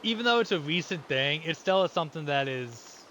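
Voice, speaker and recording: A man in his thirties from the United States chats through gameplay in a rambling way, often restarting his sentences. His voice sits a little high, with clear background noise under it. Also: animated, a little fast, bright, forceful tone. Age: 30s. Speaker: gamer. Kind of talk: casual gameplay commentary.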